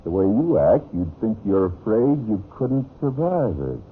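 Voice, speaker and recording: A man's voice: lowish voice, very animated, moderate pace. An American actor in a vintage radio drama, playing a breezy, confident man who hosts a hunting trip. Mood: breezy, jovial. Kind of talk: 1940s-50s radio drama acting. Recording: tinny, noisy old radio recording.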